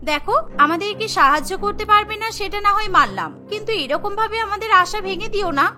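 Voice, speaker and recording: A woman's voice: very high voice, animated, fast, music in the background. A woman voicing a caring young wife in a cartoon, speaking in an earnest, pleading way. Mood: hurt, pleading. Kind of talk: cartoon voice acting.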